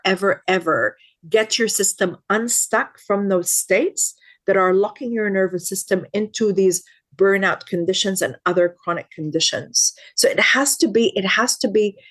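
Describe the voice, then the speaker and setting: A woman's voice: medium pitch, normal speed, few pauses. A woman in her fifties from Lebanon, a guest expert in a podcast interview, speaking earnestly and repeating words for emphasis.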